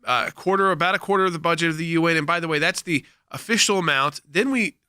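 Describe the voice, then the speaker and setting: A man's voice: on the high side, quick pace, bright, forceful tone, smooth, no room sound, clean studio sound. A man co-hosting a live call-in talk show, explaining points steadily.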